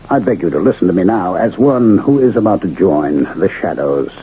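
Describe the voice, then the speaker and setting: An actor playing a smooth, cryptic travelling medicine man and charlatan illusionist in a radio drama, speaking in a courtly, theatrical manner. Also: fairly low pitch. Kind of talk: 1980 radio drama acting. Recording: dull, noisy vintage radio broadcast.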